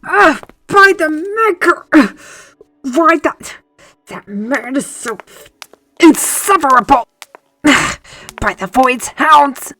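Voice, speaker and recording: An actress playing a defiant, hot-tempered mage on parole in a fantasy audio drama. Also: female voice, high-pitched, expressive, takes it slowly, bright, forceful tone, exaggerated voice. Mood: exasperated.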